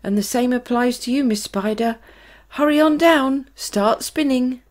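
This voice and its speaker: A woman's voice: high voice, animated, unhurried, a little mellow, pitched above the performer's own voice. Storybook boy hero, brave and bossy, urgent commands, acted by a woman. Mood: commanding. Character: brave young boy taking charge as captain.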